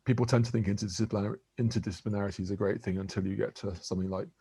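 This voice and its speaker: A man in his sixties who grew up in England, an interviewed professor giving articulate, measured answers with occasional restarts. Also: on the low side, fairly even intonation, mellow, husky, no room sound.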